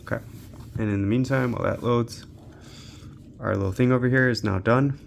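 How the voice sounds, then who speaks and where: A man's voice: medium pitch, steady tone, brisk, creaky, slight background noise. A man giving an unscripted, casual tech walkthrough, thinking aloud informally as he tests games on a handheld. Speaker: tech reviewer hosting a handheld gaming channel.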